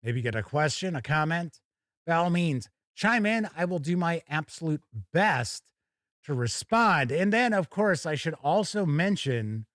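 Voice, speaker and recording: A man's voice: a little high, sing-song, a little slow, fairly bright tone, no echo, studio quality. A man hosting a casual live stream with folksy, hearty showman patter. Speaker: host of a tabletop gaming live stream.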